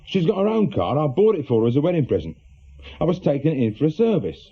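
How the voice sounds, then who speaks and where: Husky, animated English ex-crook. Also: male voice, fairly high pitch, fast, full character voice. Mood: uneasy, worried. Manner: hesitant, colloquial, defensive. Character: awkward middle-aged reformed small-time crook, worried husband. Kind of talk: British radio drama acting. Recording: muffled, lo-fi radio drama recording.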